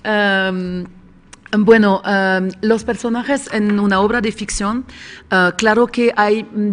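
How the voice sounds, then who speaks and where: Woman, Spanish, screenwriting panelist, halting with hums and restarts. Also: medium pitch. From Switzerland. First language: not Spanish. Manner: searching for words with hums and restarts. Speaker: screenwriter and script doctor. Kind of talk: panel talk to an audience. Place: conference room with audience.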